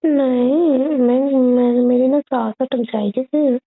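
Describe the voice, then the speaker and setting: A young woman chatting casually and intimately with her boyfriend on a phone call, in a childlike, cooing way with drawn-out phrases. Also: high-pitched, fairly even intonation. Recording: muffled, band-limited phone call recording.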